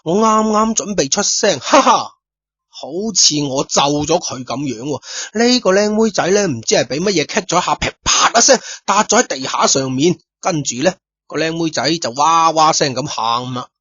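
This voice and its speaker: A man's voice: a little high, moderate pace, slightly rough, dry recording. A man telling a detective story in first person, speaking straight to his listeners with chatty asides. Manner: chatty, addresses listeners directly, lively asides. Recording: dull lo-fi dry studio recording.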